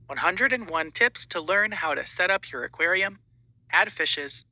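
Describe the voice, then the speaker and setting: Highish bright guy reading audiobook title, dry sound. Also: expressive, moderate pace, no echo, low-quality recording. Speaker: audiobook narrator auditioning. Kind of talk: scripted audiobook narration.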